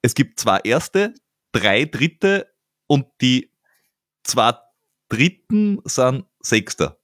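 A man's voice: medium pitch, big pitch swings, very slow, a bit bright, no echo, studio quality. A man hosting a podcast, chatting casually with many stammered restarts and laughs. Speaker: podcast host. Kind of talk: casual interview chat.

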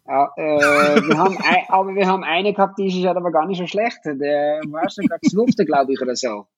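Husky Dutch man in his fifties. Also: fairly high pitch, moderate pace, dull audio. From Netherlands. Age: 50s. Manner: hesitant, many fillers and restarts. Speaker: guest, parent of athletes. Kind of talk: casual conversation.

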